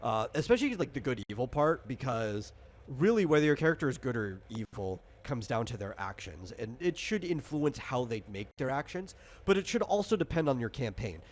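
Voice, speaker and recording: A man's voice: medium pitch, sing-song, brisk. Rambling man explaining a tabletop game. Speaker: game designer and game master. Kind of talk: casual tabletop game walkthrough.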